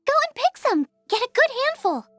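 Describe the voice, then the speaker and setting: A woman's voice: very high voice, very animated, fairly quick, sharp tone, no echo, soft background music, exaggerated voice. A woman voicing a bubbly, adventurous teenage girl chef in a video game, eager and confident, often exclaiming. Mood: enthusiastic, persuasive. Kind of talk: video game character acting.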